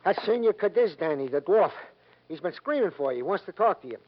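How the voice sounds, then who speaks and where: A man playing an excitable, wordy, theatrical police colleague in an old comic-tinged radio crime drama. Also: high-pitched, fairly quick. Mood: excited, exasperated. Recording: muffled old radio recording, faint hiss.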